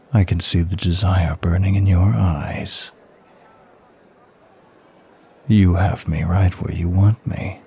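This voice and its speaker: Casting: male actor for an ancient lust demon in ASMR roleplay, low register, unhurried, sultry and teasing. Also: warm tone, breathy edge, exaggerated voice. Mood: teasing, flirtatious. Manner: slow, sultry, lingering delivery. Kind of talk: intimate ASMR roleplay acting addressed to listener.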